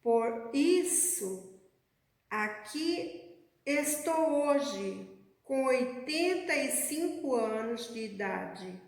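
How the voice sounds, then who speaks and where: Woman preacher, high voice, slow measured delivery, long church-hall reverb. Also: lively intonation. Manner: slow, measured preaching, addressing the congregation as beloved. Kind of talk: sermon.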